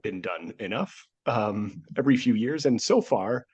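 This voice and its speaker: A man's voice: on the low side, expressive, moderate pace, a little mellow, slightly rough, highs cut off. A man hosting a casual history podcast, talking through a cold that nearly took his voice, joking and laughing often. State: nearly lost voice, sick. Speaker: podcast host. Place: home studio, remote.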